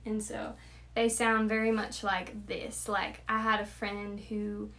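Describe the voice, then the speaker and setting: Bright-voiced giggly young woman. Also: mid-range voice, little pitch movement, creaky. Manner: giggly, chatty, quick to laugh. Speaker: host. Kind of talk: casual chat, trying out accents.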